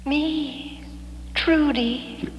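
An actress playing a dead lover's ghost in a 1970s radio drama, blissful, slow and eerily beckoning. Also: female voice, high voice, steady tone, lo-fi sound. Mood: eerie, beckoning. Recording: heavy reverb, hissy 1970s radio recording.

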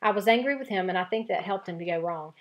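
A woman's voice: medium pitch, quick pace, natural voice. A woman reading the lines of an earnest, dutiful eldest boy from a storybook, in her own voice.

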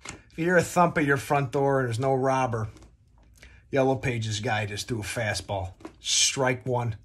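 A young man with a husky, mid-pitched voice talks casually to his phone at home. He tells his story like a comedic rant, animated and full of exaggeration, with some swearing. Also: takes it slowly, long pauses. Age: 20s. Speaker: vlogger. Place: small dim room at home, indoors.

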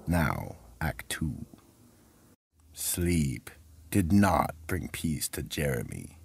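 A man narrating a scripted mystery horror anthology as host, ominous, deliberate and dramatic. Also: a bass voice, sing-song, creaky, breathy edge.

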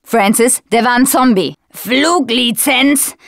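A high, dry-recorded young female voice for a zombie video game. She is a tough survivor with a blunt, sarcastic edge. Her delivery is urgent, made for short combat barks and quips. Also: slow, no echo. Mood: sarcastic, exasperated. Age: young adult. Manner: blunt, foul-mouthed, urgent.

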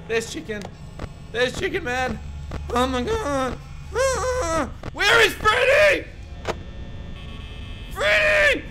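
A young man playing a horror game and recording through a headset at home, muttering to himself and breaking into panicked shouts. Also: very high-pitched, background noise. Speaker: gaming YouTuber. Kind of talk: horror game let's play. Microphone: over-ear headset.

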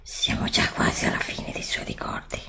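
A middle-aged woman voicing a scheming evil witch queen of darkness in an ASMR roleplay, hissing curses in slow menacing whispers close to a desk mic. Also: pushed and bright, close to an everyday voice. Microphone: desk condenser mic close up.

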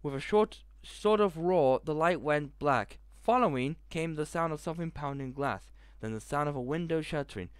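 A young man with a headset mic in a small bedroom, reading a visual novel aloud in a hushed voice and breaking into loud reactions. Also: fairly high pitch, expressive, normal speed, few pauses, clear, resonant tone, no room sound. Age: young adult. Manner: reads narration in a hushed tone, reacts with loud outbursts. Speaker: gaming video host.

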